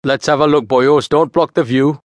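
A man's voice: a little high, fairly even intonation, normal speed, no room sound, voice pushed up, close to an everyday voice. A man voicing a cheeky, brash Welsh film-star lookalike with a broad Welsh accent and chummy, slangy talk.

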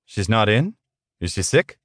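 A man's voice: on the low side, sing-song, unhurried, a bit bright, no echo, studio quality, full character voice. A man voicing a meek male office employee in an audiobook, deferential, polite and a little hesitant. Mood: concerned. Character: meek, earnest, bewildered male office worker.

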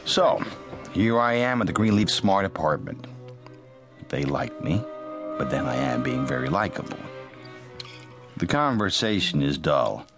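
A man's voice: deep voice, sing-song, measured pace, long pauses, music in the background, full character voice. An actor playing a calculating, detached young American man, narrating dryly and confidingly in a radio drama. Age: young adult.

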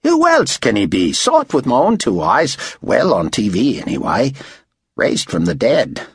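A man voicing an excitable, chatty Australian motor pool worker in an audiobook, with eager, folksy, pushy talk. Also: medium pitch, unhurried, slightly rough, dry recording, studio quality, voice pushed up, full character voice. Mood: excited, awestruck. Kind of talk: audiobook character voice.

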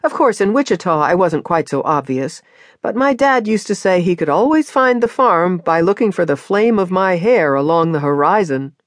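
A reader voicing an eager young American woman from the Midwest, speaking brightly and earnestly. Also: lowish voice, expressive, normal speed, dry recording, clean studio sound, close to an everyday voice.

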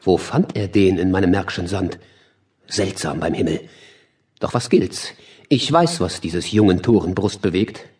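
An imperious prince-ruler with a low, husky voice. He declaims classical verse with sceptical authority, as in an audio stage drama. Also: expressive, a little slow, darkish tone, distant mic, full character voice. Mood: curious, amused. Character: commanding, sceptical male sovereign lord.

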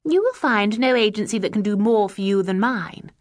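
A woman's voice: medium pitch, lively intonation, moderate pace, no echo, clean studio sound, pitched above the performer's own voice, natural voice. A woman playing a haughty, crushing agency keeper. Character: stately severe woman running an employment agency.

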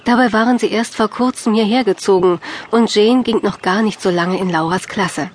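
A woman narrating a scripted children's audiobook in a measured, clearly phrased storytelling manner. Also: mid-range voice, a little hiss or hum.

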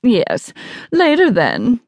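A haughty man of some importance speaks in an audiobook, acted out by a woman. The delivery is pompous and condescending, lifted in pitch, with a smug edge. The sound is dry. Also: huge pitch swings, extremely slow, darkish tone, no echo, lo-fi sound, full character voice. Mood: brisk, self-important. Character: haughty, smug adult man, a disdainful council official. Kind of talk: audiobook character dialogue.

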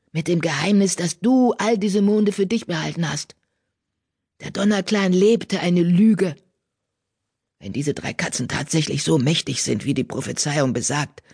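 Growling old she-cat acted by a storybook narrator, bitter, menacing, husky, grim storybook villainy. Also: a little mellow. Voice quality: low growl, hissing. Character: fierce old female cat, bitter former healer, accusing.

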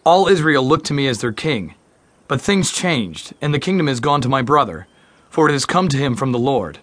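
A man playing an aggrieved, persuasive prince in a scripture audio drama, pleading his case in measured phrases. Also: medium pitch, lively intonation, a bit bright, dry recording, close to an everyday voice. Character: aggrieved, smooth-talking prince pleading for a favor.

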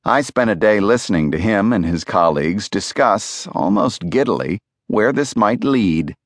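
A man reads a nonfiction audiobook in a measured, clearly phrased storytelling manner, close to the microphone. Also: fairly low pitch, lively intonation, no echo. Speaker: audiobook narrator. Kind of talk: scripted nonfiction audiobook narration. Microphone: close studio microphone.

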